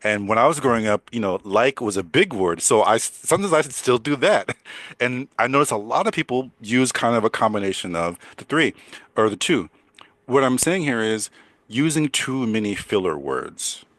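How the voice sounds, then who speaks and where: An older man with a deep voice teaching English in a chatty way, repeating words and laughing often. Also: expressive, normal speed, a bit bright, no room sound. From United States. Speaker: English coach. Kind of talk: casual teaching conversation.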